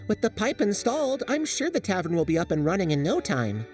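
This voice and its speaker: A sarcastic little forest creature with a lively, swooping delivery, polite on the surface and needling underneath, as an actor plays it. The voice sits back from the mic, with quiet game music behind. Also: medium pitch, fairly quick, distant mic, close to an everyday voice. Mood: scoffing. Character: dry, sardonic small forest creature. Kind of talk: game cutscene dub.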